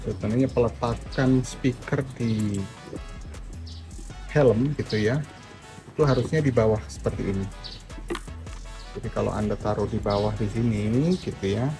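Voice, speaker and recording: An older man giving a relaxed, chatty product review, full of fillers and restarts. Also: mid-range voice. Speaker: motorcycle gear reviewer.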